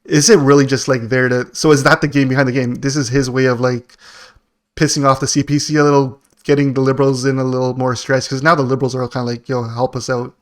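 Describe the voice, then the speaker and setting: A man's voice: mid-range voice, little pitch movement, quick pace. Casual man chatting politics. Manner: slangy, joking. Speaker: podcast co-host.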